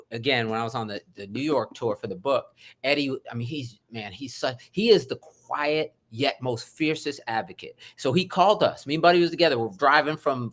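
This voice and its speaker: Effusive, animated man talking. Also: mid-range voice. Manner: effusive, animated, swings loud and soft. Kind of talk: energetic interview conversation.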